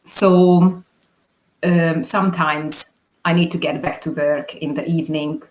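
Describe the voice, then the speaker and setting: Slow, hesitant woman. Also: deep voice, steady tone, darkish tone, low-quality recording. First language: Hungarian. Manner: hesitant, many fillers and restarts. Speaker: guest, videographer. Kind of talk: interview answers.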